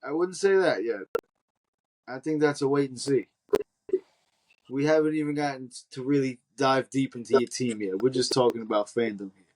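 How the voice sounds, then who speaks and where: A man's voice: mid-range voice, normal speed, a little mellow, low-quality recording. A man co-hosting a football podcast, teasing his partner and steering the conversation. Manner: teasing, laughs often, steers the talk. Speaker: podcast co-host. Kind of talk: casual sports chat.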